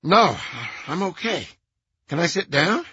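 A man voicing a shaken, apologetic middle-aged caretaker in an audiobook, with a high, nasal voice and nervous, pleading delivery. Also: animated, normal speed, pushed and bright, breathy edge, no room sound, lo-fi sound, full character voice. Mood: shaken, relieved. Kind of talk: audiobook character voice.

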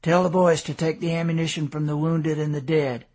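Steady, commanding colonel, a bit husky, voiced by a man. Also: on the high side, normal speed. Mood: firm. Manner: calm, commanding. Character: stoic, resolute male colonel.

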